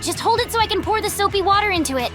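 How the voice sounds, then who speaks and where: A woman's voice: extremely high pitch, expressive, rapid-fire. A woman voicing a bossy, practical kid hero in a fan cartoon comic dub. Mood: businesslike. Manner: bossy, no-nonsense. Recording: loud music behind the voice.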